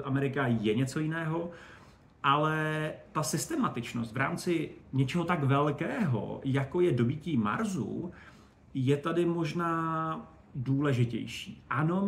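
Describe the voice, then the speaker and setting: A man giving animated, colloquial, digressive explanations as an expert guest in a casual interview. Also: fairly high pitch. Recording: roomy echo, faint background noise.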